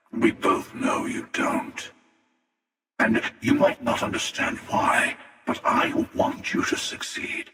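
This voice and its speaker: Game villain: older male cosmic hunter, slow gravelly rasp, smugly sardonic and menacing, drenched in reverb. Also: on the low side, fairly bright tone, heavily acted. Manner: sardonic, measured, taunting. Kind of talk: video game character dialogue. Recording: echoing long reverb, distant sound.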